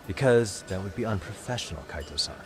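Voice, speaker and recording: An actor playing a cool, level-headed private detective in a video game, asking direct questions in a casual way. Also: male voice, on the low side, expressive, quick pace, darkish tone, a little hiss or hum, natural voice. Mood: matter-of-fact. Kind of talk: video game cutscene acting.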